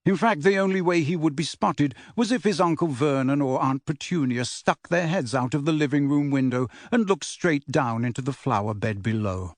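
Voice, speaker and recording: A man's voice: a little high, normal speed, few pauses, dry recording. Man narrating a novel, very dry sound. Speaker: audiobook narrator. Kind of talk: scripted story narration.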